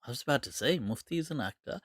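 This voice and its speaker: A man's voice: mid-range voice, very fast, husky, no room sound. Man, forties, casual talk, laughs a lot, dry room. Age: 40s. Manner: chatty, laughs often, relaxed asides. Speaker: host answering viewer questions. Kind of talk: casual live Q&A.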